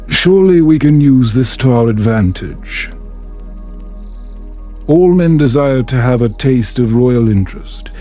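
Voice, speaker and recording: Scheming highborn mother, read by a male narrator, gracious and persuasive, slow mellow delivery for a bedtime tale. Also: animated, soft background music, natural voice. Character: scheming noble lady, mother, smoothly persuasive.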